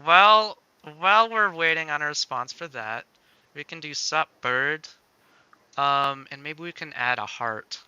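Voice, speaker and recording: A man's voice: a little high, measured pace, bright, forceful tone, smooth, no room sound, lo-fi sound. A man chatting casually as he co-hosts a demo livestream as a game developer.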